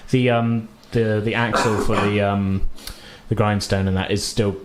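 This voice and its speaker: A man's voice: fairly low pitch, little pitch movement, a little slow, very noisy. A man narrating a tabletop role-playing game as game master, conversational, loosely describing things as he goes. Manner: conversational, hedging descriptions with "kind of" and "sort of".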